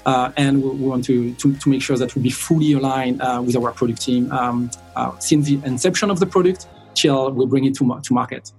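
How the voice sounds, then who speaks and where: A man's voice: mid-range voice, steady tone, a little fast, a little mellow, soft background music. A middle-aged man with a French accent, speaking conversationally as an interview guest. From south of France. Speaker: sales director, podcast guest.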